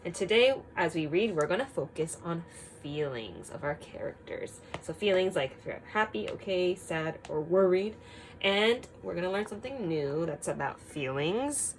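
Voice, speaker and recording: A woman teaching young children through an expressive read-aloud, prompting them with frequent simple questions. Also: lowish voice, normal speed, slight background noise, rough recording.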